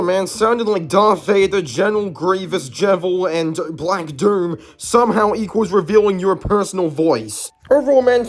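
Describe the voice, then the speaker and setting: High-pitched man in an argumentative rant. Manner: argumentative, rambling. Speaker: YouTube host. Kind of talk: casual commentary rant.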